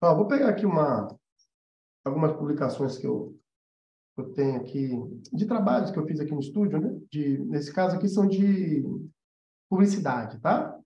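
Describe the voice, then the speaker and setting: A man's voice: on the high side, expressive, normal speed, dark tone. A man with a Brazilian Portuguese accent giving an informal live-stream lesson as an animation teacher, explaining emphatically and repeating points for emphasis. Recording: roomy echo, slightly dull sound.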